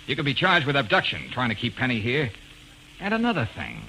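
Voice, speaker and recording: An actor playing a tough, wry young private detective in a 1940s style radio drama, with an American accent. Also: male voice, mid-range voice, huge pitch swings, fairly quick, bright, forceful tone, exaggerated voice, low-quality recording. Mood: firm, scolding. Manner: wry, blunt. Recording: hissy vintage radio recording.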